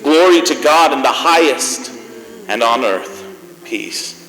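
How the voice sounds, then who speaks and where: A man's voice: medium pitch, expressive, takes it slowly, fairly bright tone. A man playing a genial, sentimental host of a Christmas variety show, speaking and reading from the stage. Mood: solemn. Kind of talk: stage hosting and scripted reading. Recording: echoey hall with faint noise.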